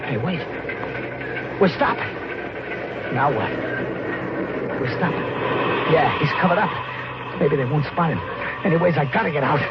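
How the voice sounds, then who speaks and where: An actor playing a desperate, guilt-haunted drifter in a 1950s radio drama, narrating in a tense, halting, colloquial American voice. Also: male voice, a little high, expressive, heavy background noise. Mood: panicked. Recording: muffled 1950s radio recording, music behind.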